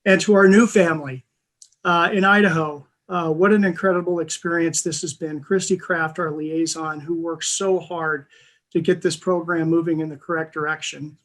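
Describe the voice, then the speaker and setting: Halting, earnest man with a higher voice. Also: fairly even intonation, fairly bright tone. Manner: halting, earnest, frequent pauses. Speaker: award recipient. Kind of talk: unscripted acceptance speech. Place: video call from an indoor room. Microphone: video call microphone.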